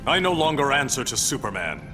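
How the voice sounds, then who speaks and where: A man's voice: mid-range voice, very animated, brisk, lightly acted. A man playing a proud, imperious warrior king in a video game, speaking in a formal, commanding way. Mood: commanding, threatening. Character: proud, stern warrior king of an undersea kingdom. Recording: loud music behind.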